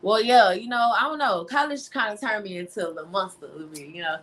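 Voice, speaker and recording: A woman with a medium-pitched voice talks candidly as a guest in an interview. Her delivery is informal and expressive, and she repeats phrases to drive them home. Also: fast talker. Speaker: interview guest, singer and songwriter.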